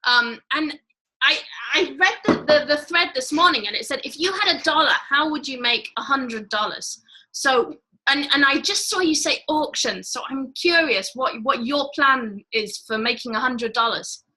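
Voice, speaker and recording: A woman's voice: high voice, moderate pace, bright, forceful tone, poor audio. A woman with a British accent hosting a casual video chat, bubbly and asking eager questions. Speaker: host.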